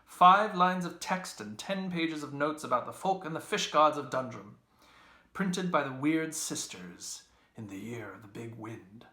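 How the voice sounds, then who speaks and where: A man's voice: on the high side, expressive, measured pace, bright, forceful tone, echoey room, voice pushed up. A man voicing a boisterous, theatrical young Irish joker who exclaims and teases, in a literary reading. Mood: mock earnest. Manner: declamatory, exclaiming, teasing. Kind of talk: literary audiobook character voice.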